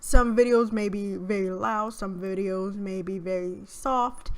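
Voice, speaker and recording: A young woman with a soft, shy voice, chatting through a game video in a hesitant, rambling way. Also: mid-range voice, fairly even intonation, unhurried. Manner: rambling, hesitant with many fillers. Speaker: gaming YouTuber. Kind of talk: casual let's play commentary.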